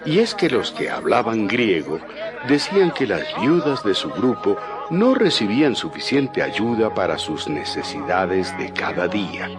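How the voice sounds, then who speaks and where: Deep-voiced, animated male Bible narrator. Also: measured pace. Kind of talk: scripted dramatized Bible narration. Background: music.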